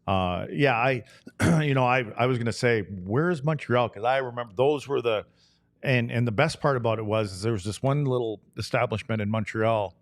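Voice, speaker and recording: An older or middle-aged man, a former player on a sports panel, telling stories in a rambling, casual way and clearing his throat. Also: medium pitch, normal speed, no echo, clean studio sound. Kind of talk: casual chat, storytelling.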